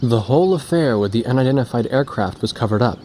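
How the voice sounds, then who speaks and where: A man's voice: mid-range voice, lively intonation, fast, mellow, natural voice. A man playing a young, reflective and earnest war photojournalist in a video game. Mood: reflective, sober. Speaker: narrator and journalist character. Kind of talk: scripted narration and dialogue in game cutscenes. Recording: clearly noisy track with vehicle ambience.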